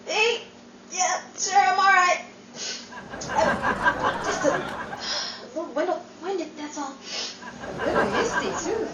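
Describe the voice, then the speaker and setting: A woman's voice: extremely high pitch, slow pace, pauses often, pitched above the performer's own voice. A woman playing an overwrought, weepy 1940s woman in a radio drama, gushing and exclamatory. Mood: flustered, teasing. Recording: roomy, distant mic, noisy crowd ambience.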